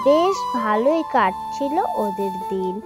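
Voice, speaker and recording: A woman's voice: on the high side, lively intonation, takes it slowly. A woman narrating a children's fairy tale from a script, with music behind her.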